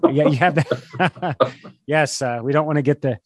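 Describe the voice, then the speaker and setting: A man co-hosting a podcast in casual conversation, a bit congested from a cold, speaking in an earnest, halting, wordy way. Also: fairly high pitch, no room sound, clean studio sound. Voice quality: slightly stuffy, congested. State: struggling with a cold. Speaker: podcast co-host. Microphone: video call.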